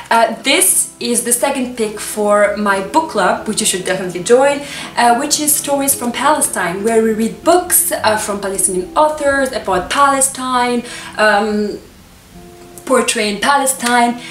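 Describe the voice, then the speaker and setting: A woman in her twenties, a native Arabic speaker, casually recommending books to camera in an expressive, gushing, emphatic manner. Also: on the high side. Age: 20s. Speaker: book reviewer and vlogger. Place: small furnished room with books, indoors. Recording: echoey room, distant camera microphone.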